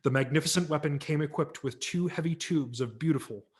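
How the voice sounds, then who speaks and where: A man's voice: fairly high pitch, a little fast. A man narrating a story dramatically as an audiobook reader, with wry, theatrical emphasis.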